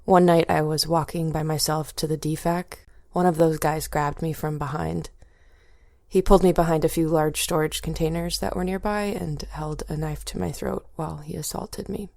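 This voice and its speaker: An American woman playing a distressed young Air Force veteran in an acted interview, halting and reluctant as she speaks. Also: sits low, fairly even intonation, creaky, darkish tone, dry recording, studio quality. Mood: distressed, ashamed. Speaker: voice actor in a training simulation. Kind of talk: acted clinical interview testimony.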